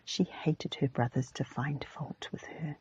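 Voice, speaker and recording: A woman softly whispering a storybook as ASMR, in a breathy, gentle narrating manner. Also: low-pitched, steady tone, measured pace, dull audio. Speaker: ASMR reader.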